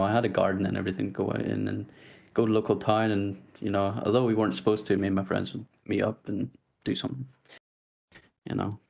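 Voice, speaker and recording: A young man with a soft Northern Irish accent talks in a relaxed, rambling way, as a guest on a casual podcast. His voice sits fairly low and has a gravelly fry to it. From Northern Ireland. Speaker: guest YouTuber.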